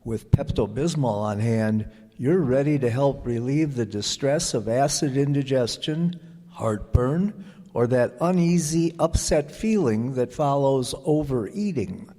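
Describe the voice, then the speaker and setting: A man reading a script as an old-time radio announcer, with measured, deliberate phrasing. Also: medium pitch, mellow. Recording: echoey hall with audience present.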